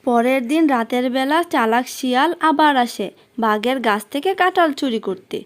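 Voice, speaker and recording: A woman with a high-pitched voice narrates a children's cartoon story. Her delivery is scripted storytelling, laying out the tale line by line. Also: normal speed.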